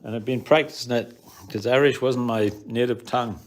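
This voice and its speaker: A middle-aged man co-hosting a casual family show, gentle and joking. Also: mid-range voice, steady tone. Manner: gentle, joking, slow blessings. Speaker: co-host. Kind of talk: casual chat.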